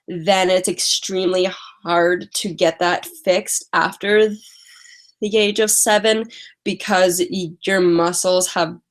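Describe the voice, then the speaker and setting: Young woman who stutters, slow and low. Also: steady tone. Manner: stutters, repeating first sounds. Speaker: vlogger. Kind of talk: casual live stream chat.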